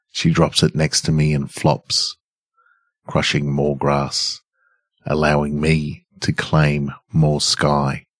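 A man with an extremely low-pitched voice speaks as a podcast host and reader. The sound is dry and close, from a small home studio. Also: slightly rough, no echo. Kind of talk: casual podcast chat and measured story reading. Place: home studio in a converted room.

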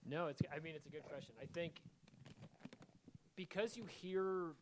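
A man answering on a stage panel, relaxed and loose, with many fillers like "like". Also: a little high, moderate pace, a little hiss or hum, poor audio. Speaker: TV writer and director on a panel. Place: event stage before an audience.

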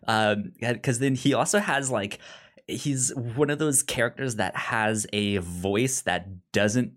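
A man co-hosting a podcast and casually discussing a film in a hesitant, halting way, often repeating himself. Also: mid-range voice, fairly bright tone. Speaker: podcast co-host.

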